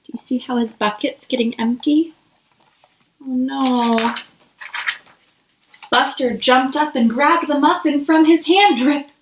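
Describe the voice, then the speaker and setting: A woman's voice: high voice, slow pace, long pauses, a little hiss or hum. A woman reads a children's picture book aloud expressively, putting questions to young listeners. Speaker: storybook reader. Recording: echoey room.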